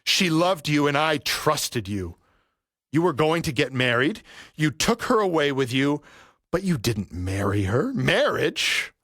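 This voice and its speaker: An actor playing a vengeful, grieving middle-aged father in an audio play, speaking with deliberate, theatrical menace. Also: a little high, sing-song, fairly bright tone, no echo, clean studio sound, exaggerated voice. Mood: bitter grief. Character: vengeful grieving father, a barber settling an old debt.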